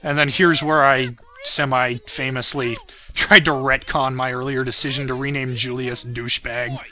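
A man's voice: medium pitch, flat delivery, normal speed, sharp tone. A man giving casual, self-deprecating commentary on his own parody series, chuckling and swearing as he goes.

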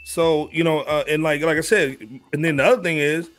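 A man's voice: on the high side, fairly even intonation, brisk, fairly bright tone, faint music behind. An American man hosting a podcast in casual, slangy chat. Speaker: podcast host. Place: indoor room on a video call.

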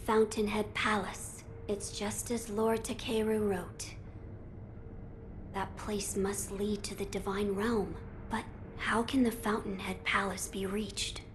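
A gentle young lord, still a boy, played by a woman, speaks formal video game dialogue with earnest solemnity. The delivery is measured, at a middle pitch, with clear ambient noise behind it. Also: level tone, lightly acted. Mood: soft, pensive.

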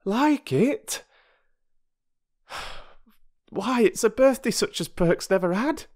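A humble, emotional working-class mother who rattles on in rambling dialect, as a male narrator voices her. Her voice sits high above the reader's own and tumbles out quickly. Her lines swing freely in pitch. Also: expressive, a little mellow. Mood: overcome. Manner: rambling dialect speech, rushing on.

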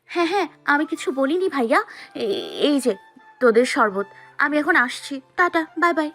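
A cheeky, easily flustered college girl played by a woman in an audio story. Her very high voice jumps up and down as she blurts and bickers dramatically, with soft music behind. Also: sing-song, moderate pace, full character voice. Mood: nervous, flustered. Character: cheeky, flustered young female college student, the heroine.

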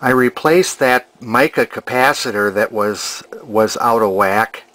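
Slow-talking man with a bright voice. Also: medium pitch. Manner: halting, thinking aloud. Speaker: hobbyist electronics restorer. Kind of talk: informal narrated repair demonstration. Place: cluttered indoor workshop.

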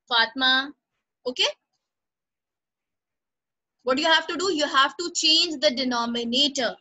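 A woman's voice: high-pitched, sharp tone, poor audio. A female teacher, leading an online class, encouraging students by name and repeating instructions. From Pakistan.

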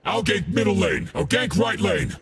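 A man voicing a cocky, menacing demon-powered gang boss for a video game, gravelly and full of boastful taunts. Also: sits high, animated, moderate pace, fairly bright tone, exaggerated voice. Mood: commanding. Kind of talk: video game character voice pack.

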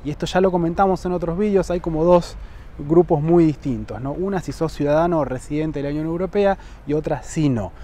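A man's voice: a little high, fairly quick. Rioplatense man explaining travel rules outdoors. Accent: Rioplatense Spanish. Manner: checks in with frequent "¿sí?" tags, lively emphasis. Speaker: travel vlogger. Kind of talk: explanatory travel vlog to camera. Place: outdoors on a lawn by buildings, sunny. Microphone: lapel mic.

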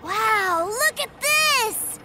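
A woman voicing an excitable, innocent, eager little boy in a video game, with a light childlike voice. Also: extremely high pitch, very slow, over music, heavily acted. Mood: delighted. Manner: eager, wide-eyed. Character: excitable innocent little boy who adores his brother.

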